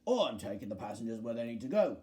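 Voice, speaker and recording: A grumpy double-decker bus from a children's storybook, as a male narrator plays him, scornful and boastful. His voice drops low and stays mellow, with a gloating edge to his complaints about the world. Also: sing-song, quick pace, lightly acted. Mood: smug.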